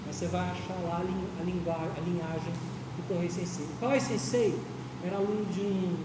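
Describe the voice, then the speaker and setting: Brazilian woman teaching in an echoey hall. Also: fairly low pitch. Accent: Brazilian Portuguese. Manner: explanatory, tags phrases with "né?" and "tá?". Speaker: aikido instructor. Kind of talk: informal lecture to students. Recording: echoey hall from far off, noisy.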